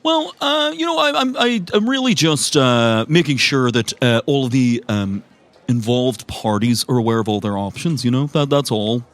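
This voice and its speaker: A man's voice: mid-range voice, expressive, normal speed, dry recording, natural voice. Nervous, evasive estate agent, acted by a man, dry sound. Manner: hesitant, stammering, fussy politeness. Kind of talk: improvised tabletop roleplay acting.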